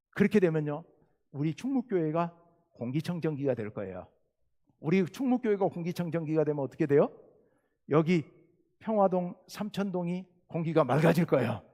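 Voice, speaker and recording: A man's voice: on the high side, dark tone. A middle-aged male pastor from South Jeolla with a Jeolla Korean accent, preaching a sermon with earnest emphasis and rhetorical questions. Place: church sanctuary. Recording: long church reverb.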